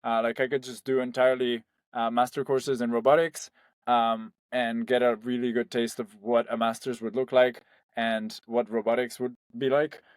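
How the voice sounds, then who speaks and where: A man's voice: medium pitch, level tone, moderate pace, no echo. Young man chatting freely, dry sound. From Spain. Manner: loose and conversational, heavy on "like" and "um". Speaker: startup founder, guest. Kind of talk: casual interview.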